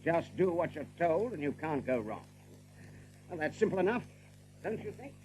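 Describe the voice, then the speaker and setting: An actor playing a rabbit guard captain in an audiobook drama, dutiful and deferential, with brisk, clipped speech. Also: male voice, on the high side, very animated, a little mellow, slight background noise, rough recording. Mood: confiding.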